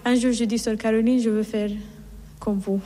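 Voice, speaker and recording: A middle-aged nun from Iran, reverberant hall. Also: female voice, a little high, steady tone, a little slow, warm tone. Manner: earnest, reflective storytelling with restarts. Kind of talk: personal testimony in an interview.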